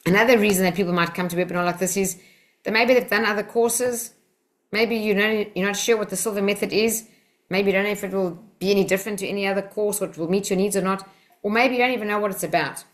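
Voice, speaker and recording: A woman's voice: medium pitch, quick pace. A South African woman teaching a mind development webinar over a video call, in a direct, encouraging, chatty way. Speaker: Silva Method instructor.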